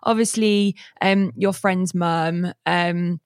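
A woman's voice: on the low side, fairly even intonation, takes it slowly, no echo, clean studio sound. A woman hosting a podcast interview who sums up her guest's story and encourages him. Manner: encouraging, summarizing. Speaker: podcast host. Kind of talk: casual interview.

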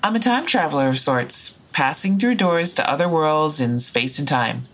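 A woman's voice: sits low, lively intonation, moderate pace. A woman playing a frank, foul-mouthed time traveler in an audio drama, speaking and narrating in a casual, wry way. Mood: confiding, earnest. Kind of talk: audio drama dialogue and first-person narration.

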